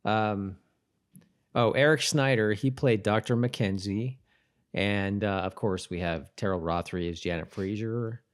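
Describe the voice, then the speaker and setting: Low-voiced man chatting, halting and rephrasing. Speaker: podcast co-host. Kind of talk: casual chat reviewing a TV episode.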